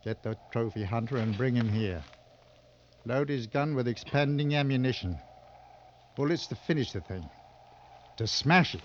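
An actor playing a seasoned, coolly sardonic hunting guide in a mid-century radio drama, giving clipped, authoritative instructions. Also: male voice, mid-range voice, animated, moderate pace, pauses often, natural voice. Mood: bitter. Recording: dull old radio recording, noisy.

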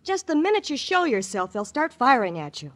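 An anxious young captive in a vintage radio western. Her voice is very high and swoops with feeling. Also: sing-song, fairly quick, a bit bright, exaggerated voice. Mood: worried, pleading. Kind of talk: old-time radio western acting.

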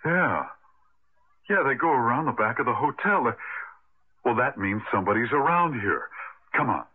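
An actor playing a practical, polite, slightly grumbling young husband in a radio drama. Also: male voice, medium pitch, big pitch swings, moderate pace, breathy edge. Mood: curious, determined. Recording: lo-fi 1980 radio broadcast, noisy.

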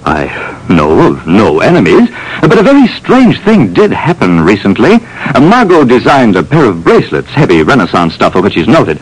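Genteel businessman, hesitant, husky, middle-aged, old radio drama. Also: fairly low pitch, huge pitch swings, normal speed, exaggerated voice, rough recording. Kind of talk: 1940s radio acting.

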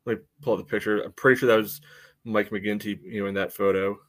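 A man co-hosting a sports podcast with casual, halting analysis full of verbal padding. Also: lowish voice, level tone. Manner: halting, peppers talk with "you know". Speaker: podcast co-host, commentator.

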